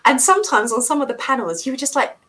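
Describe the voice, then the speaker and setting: A woman's voice: high voice, sing-song, quick pace, pushed and bright. A woman co-hosting a casual book chat, talking in a chatty, enthusiastic way. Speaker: book discussion co-host.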